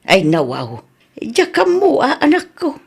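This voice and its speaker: A woman's voice: high voice, huge pitch swings, a little slow, gravelly, exaggerated voice. A woman playing a firm, middle-aged mother exasperated with her son in a radio drama, with an Ilocano accent. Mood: evasive.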